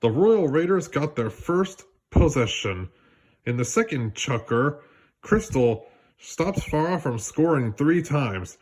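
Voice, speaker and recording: A man narrating a children's storybook aloud in an expressive way, occasionally stumbling on a word. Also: mid-range voice, breathy edge. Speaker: storybook reader.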